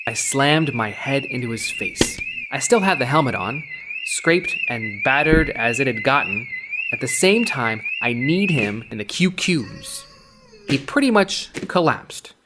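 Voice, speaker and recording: A man reading first-person fiction dramatically, with animated, expressive delivery. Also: on the high side, a little slow, background noise. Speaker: short story reader. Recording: loud synthwave music bed. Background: synthwave music.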